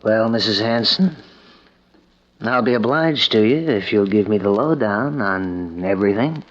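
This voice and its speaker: A man's voice: fairly low pitch, expressive, a little slow, fairly bright tone. An actor playing an easygoing, slang-talking handyman in a 1940s radio drama. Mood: breezy. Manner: breezy, slangy. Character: easygoing young male handyman. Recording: dull old radio recording with music.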